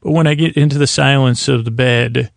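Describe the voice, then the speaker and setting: Man, creaky voice, dry close sound, meandering sleep-podcast monologue, halting, looping phrasing. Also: medium pitch, steady tone, no echo. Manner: meandering, halting, circles back on himself. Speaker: podcast host. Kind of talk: rambling bedtime-story podcast intro monologue.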